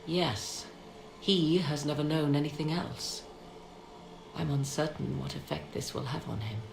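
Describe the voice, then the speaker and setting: A woman voicing a proud, guarded sorceress in a video game, with formal, deliberate, theatrical phrasing. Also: sits low, vocal fry. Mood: grave, troubled. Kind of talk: dramatic video game dialogue. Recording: echoey, noisy game scene capture.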